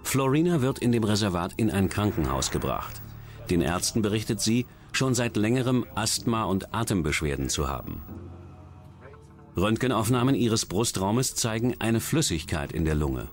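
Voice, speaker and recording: A man's voice: fairly low pitch, very animated, moderate pace. A man reading scripted narration as a documentary narrator. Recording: music behind the voice. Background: background music.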